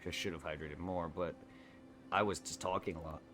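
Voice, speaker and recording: A man streaming a game, chatting casually in a quiet voice, rambling and correcting himself as he goes. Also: low-pitched, steady tone, fairly quick, background noise. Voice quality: quiet normal voice. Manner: rambling, self-correcting, laughs easily. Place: home streaming setup.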